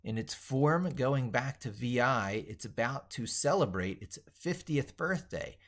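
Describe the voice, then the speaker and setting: A man's voice: medium pitch, lively intonation, a little slow. A man delivering an opinionated tech monologue in an emphatic, declarative way, reworking and repeating his phrases as he drafts them aloud. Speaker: tech educator presenter.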